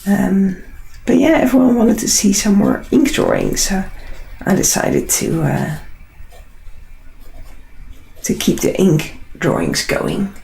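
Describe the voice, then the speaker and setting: Husky, creaky Dutch-accented woman. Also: mid-range voice, huge pitch swings, slow pace, pauses often, mellow, echoey room, a little hiss or hum, rough recording. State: unwell, struggling hoarse voice. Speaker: artist hosting a live drawing session. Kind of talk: casual live tutorial chat.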